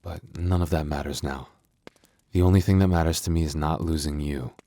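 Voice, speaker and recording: A man voicing a gentle young male werewolf boyfriend in an intimate ASMR roleplay, speaking softly and earnestly with hesitant stammers. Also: extremely low pitch, fairly even intonation, a little fast. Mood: tender, devoted.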